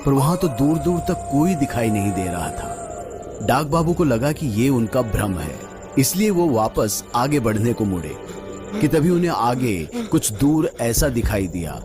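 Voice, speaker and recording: A man's voice: mid-range voice, big pitch swings. Suspenseful male horror narrator over music. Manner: suspenseful, dramatic pauses and drawn-out phrases. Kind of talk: dramatic scripted horror story narration.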